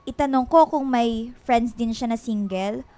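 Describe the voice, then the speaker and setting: A woman's voice: a little high, measured pace, warm tone, dry recording, natural voice. An actress playing a cheeky, chatty young woman in a Filipino radio drama, playful and exclamatory, slipping English into her talk. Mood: playful teasing.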